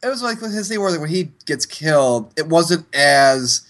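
A man's voice: on the high side, lively intonation, normal speed, a bit bright. A man with a stuffy nose co-hosting a casual movie review chat, talking hesitantly with restarts and sighs. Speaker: podcast co-host.

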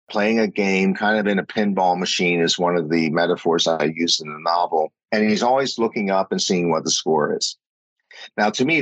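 A man's voice: low-pitched, fairly bright tone, no echo, low-quality recording. A middle-aged man reflecting in an interview, talking thoughtfully with many fillers and restarts. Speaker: guest, former company chief and novelist.

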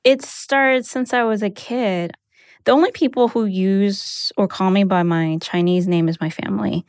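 A woman's voice: medium pitch, fairly even intonation, moderate pace, no echo, clean studio sound. An American woman whose first language is Mandarin, telling a personal story in an informal, conversational way. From United States. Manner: informal, sprinkled with "like" and "you know".

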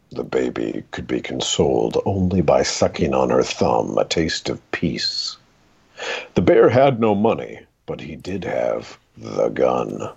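A man with a gravelly, husky voice reading a literary short story aloud in a dramatic, deliberate way. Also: sits low, a little mellow, muffled recording.

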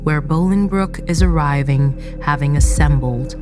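Low-voiced woman narrator over music. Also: measured pace. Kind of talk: scripted radio drama narration.

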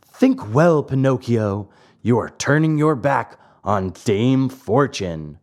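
A man voicing a sly, smooth-talking fox who coaxes and persuades. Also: mid-range voice, lively intonation, slow, darkish tone. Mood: coaxing. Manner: coaxing, persuasive.

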